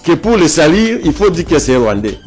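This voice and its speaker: A man's voice: fairly high pitch, very animated, a little fast, a little mellow, faint music behind. A man from South Kivu with a Congolese French accent, speaking as a guest in a political interview, emphatic and repeating phrases to press his points. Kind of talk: political interview, opinionated argument.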